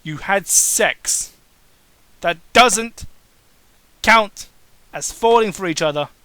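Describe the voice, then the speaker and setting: Male amateur reader, bright edgy tone, fairly high voice; sardonic, fed up, swears freely between lines. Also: takes it slowly, no room sound. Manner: exasperated, sarcastic, swears freely. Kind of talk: mocking dramatic reading with exasperated asides.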